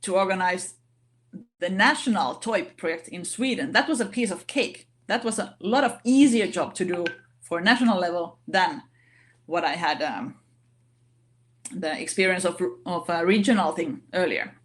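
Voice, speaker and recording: A woman speaking English as a non-native language, giving an online session talk in a halting way with many fillers and restarts. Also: fairly low pitch. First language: not English. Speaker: organization vice president giving a talk.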